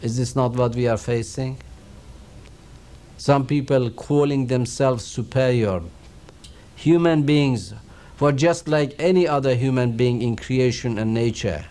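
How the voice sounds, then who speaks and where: A man with a Persian accent speaks in a soft, mellow, darker tone. He delivers a recorded religious lecture slowly and reverently, with a calm, measured pace. He sounds middle-aged. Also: mid-range voice. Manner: slow, measured, reverent recitation and explanation. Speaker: Quran teacher.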